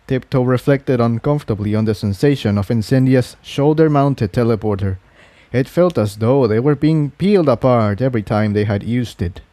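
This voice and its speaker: A man's voice: medium pitch, moderate pace, warm tone, dry recording. A man narrating a written adventure story as a reader of fan fiction.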